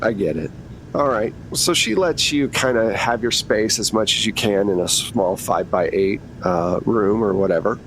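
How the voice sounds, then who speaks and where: A man's voice: moderate pace, a bit bright, rough recording. A man casually narrating a tabletop roleplaying game as game master. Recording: noticeable low rumbling background noise.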